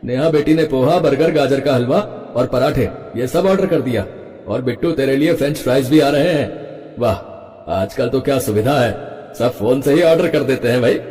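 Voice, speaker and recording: A man playing an affectionate, indulgent elderly grandfather in an animated family story, coaxing and soft-hearted. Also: mid-range voice, moderate pace, lightly acted. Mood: pleased. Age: older. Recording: echoey hall-like reverb.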